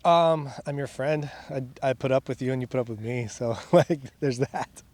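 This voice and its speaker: A man's voice: medium pitch, normal speed, darkish tone, recorded from a distance. A man from Guatemala, a guest in a casual outdoor interview, answering in a wry, self-deprecating way with frequent laughs. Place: outdoors at a park playground. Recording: voice a bit off-mic.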